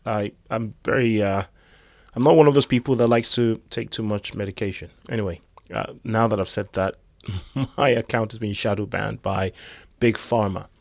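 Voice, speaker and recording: A hoarse man giving rambling, casual commentary on crypto news. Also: lowish voice, fairly even intonation, moderate pace, a little mellow, no echo. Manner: rambling, chatty asides.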